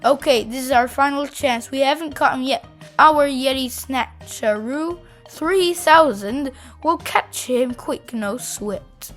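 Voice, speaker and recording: Woman as an eager, high-voiced young yeti hunter. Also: slow pace, soft background music. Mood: eager, determined. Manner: eager, exclamatory. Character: excited, determined young kid hunting a yeti.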